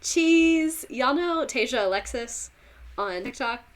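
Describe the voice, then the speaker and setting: A woman's voice: sits high, expressive, slow. A young woman teacher with a Southern US accent, giving a rambling, chatty history lesson on a livestream, full of fillers and laughs.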